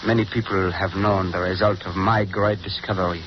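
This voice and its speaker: A man's voice: low voice, level tone, moderate pace, natural voice. A man playing a cold, vengeful mad scientist in a 1930s radio drama, speaking in a grand, theatrical way. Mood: gloating. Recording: noisy dull 1930s radio recording.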